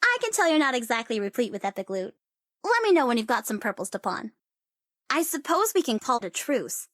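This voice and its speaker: High-pitched, sassy young sorceress. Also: female voice, big pitch swings, fairly quick, fairly bright tone, dry recording, clean studio sound. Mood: taunting. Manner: sassy, playful, teasing, theatrical. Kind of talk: video game character voice lines.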